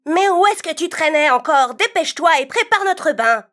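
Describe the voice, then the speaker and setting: A woman's voice: high-pitched, animated, normal speed, a bit bright. A woman voicing a spoiled, sneering young stepsister in a children's cartoon, whiny and mocking. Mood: cross, whiny. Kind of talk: children's cartoon fairy tale acting.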